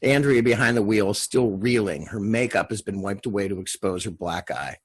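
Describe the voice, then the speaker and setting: A man's voice: on the low side, moderate pace, breathy edge. A man narrating screen directions as a reader at a TV drama table read.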